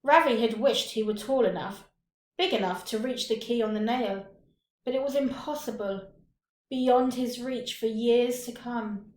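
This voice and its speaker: A woman reading a short story aloud with deliberate, dramatic phrasing and pauses. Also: a little high. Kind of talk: expressive scripted story narration. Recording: roomy, echoing room.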